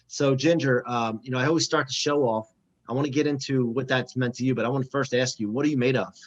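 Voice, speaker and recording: A man hosting a podcast, talking in an energetic, hype-style way as he promotes and interviews. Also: medium pitch, fast talker, muffled recording. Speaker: podcast host.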